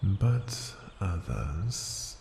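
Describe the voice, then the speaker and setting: An ancient, imperious dragon rumbles in a very low, gravelly register. The speech is formal, unhurried and intrigued, as in a fantasy ASMR roleplay. It rings through long cavernous reverb. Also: male voice, very animated, mellow, heavily acted. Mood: seductive, intrigued. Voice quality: very deep, gravelly, breathy at times. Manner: slow, formal, deliberate, imperious. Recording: cavernous long reverb, faint ambient noise.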